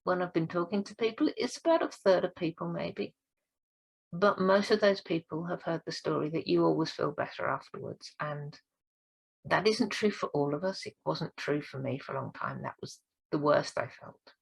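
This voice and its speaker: A woman with a low, croaky voice, gravelly and husky from hoarseness, talks straight to camera as a speaking coach. Her delivery is earnest and encouraging. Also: lively intonation, normal speed, poor audio. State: hoarse this week. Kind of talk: direct-to-camera training talk.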